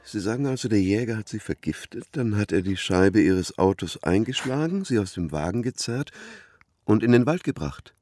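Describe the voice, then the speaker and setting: A man's voice: on the low side, expressive, normal speed, darkish tone, soft background music. A man acting a considerate, patient police investigator in a naturalistic radio crime drama, probing with restrained sympathy.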